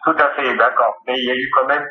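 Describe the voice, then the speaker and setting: A man's voice: medium pitch, fairly even intonation, fast. A man explaining religious points in an impromptu phone discussion, speaking hesitantly and often repeating his phrases. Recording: dull, echoey phone-call recording.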